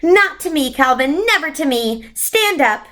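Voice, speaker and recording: A woman voicing a majestic winged creature from a storybook, kindly, grand and gently encouraging. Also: high voice, animated, a little slow, voice pushed up. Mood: kindly commanding.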